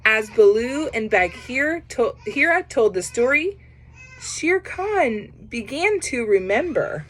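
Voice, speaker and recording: A woman reading a children's picture book aloud, pausing to comment on the pictures. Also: fairly high pitch, very animated, fairly bright tone. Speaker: storybook reader.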